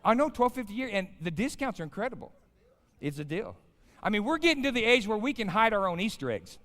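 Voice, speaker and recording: American preacher in his fifties, animated delivery. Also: high voice, quick pace. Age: 50s. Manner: folksy, colloquial, addresses the audience with questions. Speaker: pastor, guest chapel speaker. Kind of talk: informal chapel sermon with storytelling. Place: large indoor chapel, speaking to an audience. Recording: live hall with long reverb.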